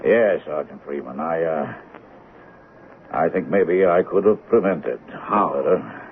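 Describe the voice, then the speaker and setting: A regretful, halting man with a very low voice. This is 1970s radio drama acting, heard on a thin, tinny recording with faint noise. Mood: regretful, uneasy. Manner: hesitant, halting. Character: regretful, hesitant man giving testimony to police.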